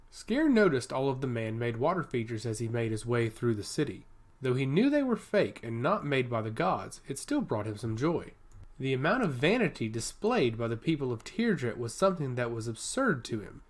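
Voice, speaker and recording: A man narrates a fantasy tale as a scripted audiobook reading. His pitch is mid-range and moves up and down in a very animated way.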